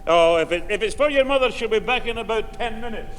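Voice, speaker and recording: A man's voice: high voice, animated, quick pace. A man playing a grumbling, suspicious old grandad with a hesitant delivery in a 1960s radio sitcom. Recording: old radio broadcast, echoey, hissy.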